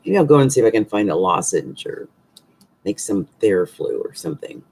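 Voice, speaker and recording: A middle-aged woman astrologer with a hoarse voice, giving a casual forecast from a small room at home into a webcam, rambling with many fillers and restarts. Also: very deep voice, sing-song, fairly quick, a little mellow. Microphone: webcam at desk.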